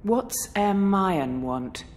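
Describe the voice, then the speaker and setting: A woman's voice: medium pitch, expressive, drawn-out words, slight background noise. A woman acting a stern, blunt seasoned general in a game cutscene, formal and authoritative. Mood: grave. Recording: echoey stone hall reverb.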